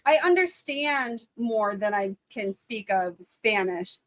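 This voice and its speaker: A woman's voice: mid-range voice, lively intonation, takes it slowly, fairly bright tone, dry recording. A woman in a livestream chat, speaking hesitantly.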